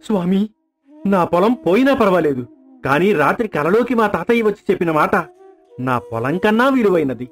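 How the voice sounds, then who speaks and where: Man playing a pleading village farmer, fairly high-voiced. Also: very animated, a little fast, soft background music. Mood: wailing. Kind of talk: acted moral story dialogue.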